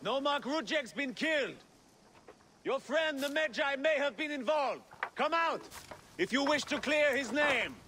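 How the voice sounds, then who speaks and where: A soldier in a video game who yells from a distance, his voice strained very high. He speaks slowly. Street noise and birds fill the background. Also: expressive, exaggerated voice. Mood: demanding. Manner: calls out loudly from a distance. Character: shouting male soldier outside a house.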